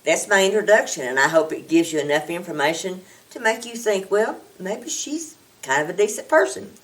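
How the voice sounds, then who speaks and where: A woman introduces herself calmly, with a touch of humor. Her voice is low for a woman, and she is recorded from a distance. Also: brisk. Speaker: executive director.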